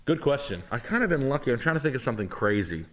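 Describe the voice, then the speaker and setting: A man's voice: mid-range voice, fast. A man, telling rambling, profane stories on a podcast. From New England. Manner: profane, rambling, animated storyteller. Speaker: podcast host. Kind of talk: casual storytelling.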